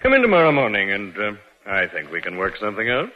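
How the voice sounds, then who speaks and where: An approving banker in a 1940s radio play. His voice is on the low side with lively pitch. The recording is dull and vintage. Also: quick pace. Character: genial, approving American bank executive. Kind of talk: 1940s radio drama acting.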